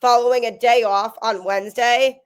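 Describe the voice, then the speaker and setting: A woman's voice: on the high side, moderate pace, smooth. Stuffy-nosed, upbeat woman sports podcaster. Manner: energetic, emphatic. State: stuffy from a cold. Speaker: podcast host.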